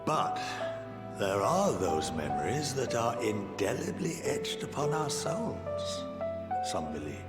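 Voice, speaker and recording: A man playing an erudite, measured scholar and statesman in a video game, speaking formally, like a lecture, with gentle wry humour. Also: fairly low pitch, sing-song. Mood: reflective. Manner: formal, eloquent, didactic. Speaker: game character, scholar and council member. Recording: game audio with echo, loud music. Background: loud music.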